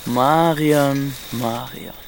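A man's voice: medium pitch, extremely slow, gravelly, a little hiss or hum, poor audio. An actor playing a sly male informant in a radio drama, teasing and insinuating as he trades gossip. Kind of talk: naturalistic radio drama acting.

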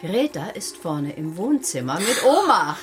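Animated, practical mother. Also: on the low side, a little slow, over loud music. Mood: teasing. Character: practical, exasperated mother of a young girl. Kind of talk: German children's radio play acting.

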